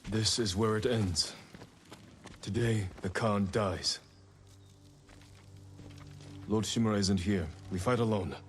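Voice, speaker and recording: An actor playing a resolute samurai war leader in a video game, speaking in a measured, grave, commanding way. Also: male voice, fairly low pitch, fairly even intonation, a little hiss or hum. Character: resolute samurai leader, solemn, loyal to his companions. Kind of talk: dramatic video game acting. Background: music.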